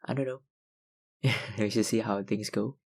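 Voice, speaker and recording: A young man, an ASMR YouTuber, chatting casually through viewer questions, hesitant with many fillers and soft laughs. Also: lowish voice, steady tone, unhurried, gravelly. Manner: hesitant, lots of ums and likes, soft laughs. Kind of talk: casual Q&A chat.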